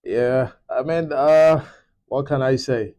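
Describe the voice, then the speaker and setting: Mellow Nigerian man, laid-back and joking. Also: medium pitch, slow. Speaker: co-host and nurse. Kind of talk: casual podcast chat.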